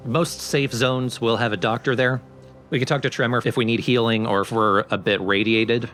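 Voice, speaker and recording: A man's voice: medium pitch, steady tone, moderate pace, fairly bright tone, soft background music. A man hosting a let's play with casual, explanatory game commentary.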